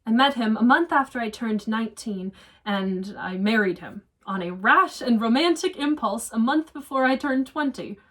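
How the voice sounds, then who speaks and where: A woman performing a dramatic monologue as a regretful, confessional divorced woman, earnest and deliberate. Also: a little high, animated, a bit bright. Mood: reflective. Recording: voice distant from the mic.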